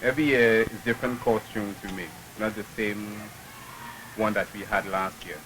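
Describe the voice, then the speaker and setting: Man building carnival costumes, low voice, earnest and proud, outdoor noise around him. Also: fairly even intonation, normal speed. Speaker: costume maker. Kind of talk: casual interview. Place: backyard outdoors. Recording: outdoor field recording with noise.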